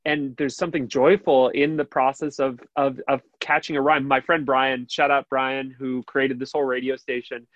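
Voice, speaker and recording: A man with a high, nasal voice hosting a radio show, chatting casually and rambling with lots of fillers. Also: level tone, normal speed, few pauses, fairly bright tone, no echo, lo-fi sound. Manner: rambling, many fillers and restarts, laughs easily. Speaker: radio host. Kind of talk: casual interview chat.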